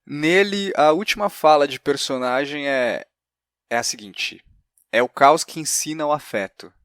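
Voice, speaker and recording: A man's voice: fairly high pitch, unhurried, a bit bright, smooth, no echo, clean studio sound. A man with a Brazilian accent hosting a conversational interview, reflective and feeling his way through long remarks. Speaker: podcast host, interviewer.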